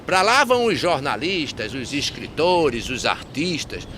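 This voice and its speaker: An actor playing a grumbling, sardonic gravedigger in an animated film, declaiming rhymed verse. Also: male voice, on the high side, unhurried, a little hiss or hum, full character voice.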